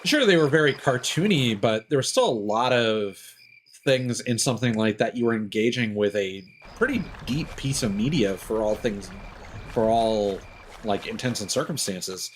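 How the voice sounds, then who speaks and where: Opinionated streamer guy over clear background noise. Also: lowish voice, animated, normal speed. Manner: opinionated, rambling. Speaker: live streamer hosting a game stream. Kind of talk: casual livestream chat.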